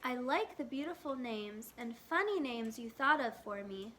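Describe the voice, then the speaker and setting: A woman's voice: fairly high pitch, lively intonation, normal speed, pushed and bright, slight background noise. A woman voicing a polite, earnest young schoolgirl in a storybook read-aloud. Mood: earnest, resolved.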